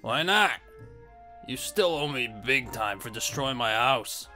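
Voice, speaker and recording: A man's voice: mid-range voice, animated, slow pace, bright, forceful tone, soft background music, full character voice. A man voicing an indignant, sophisticated freeloading pig in a comic dub, wordy and self-important. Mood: indignant, pompous.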